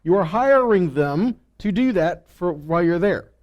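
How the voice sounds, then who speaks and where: A man's voice: sits high, animated, unhurried, darkish tone, highs cut off. A man giving an informal sermon as a Bible teacher, reading verses and commenting conversationally with rhetorical questions and imagined replies.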